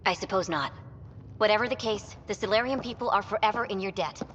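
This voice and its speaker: A woman's voice: mid-range voice, fast talker, a bit bright, in a large hall, highs cut off, slight background noise, lightly acted. An actress playing a formal, dignified female leader in a video game cutscene. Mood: solemn gratitude.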